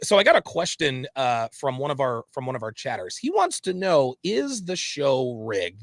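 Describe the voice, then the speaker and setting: A man's voice: medium pitch, no room sound. A man hosting a livestream interview, talking in an opinionated, emphatic way and repeating phrases for stress. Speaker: host of a livestream interview show. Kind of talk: opinionated livestream talk, putting questions to a guest.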